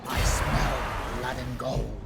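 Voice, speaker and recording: A menacing pirate lord from a strategy game, barking clipped orders in a deeply gravelly voice that swings up and down as he drawls each line slowly. Loud game music plays behind him. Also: fairly even intonation. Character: gruff seafaring pirate lord, menacing, greedy. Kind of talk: video game unit voice lines.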